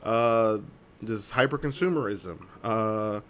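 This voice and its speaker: A man co-hosting a live-streamed chat show, talking casually and jokily, rambling with loud excited bursts. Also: on the low side, slow pace. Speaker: co-host. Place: home streaming setup.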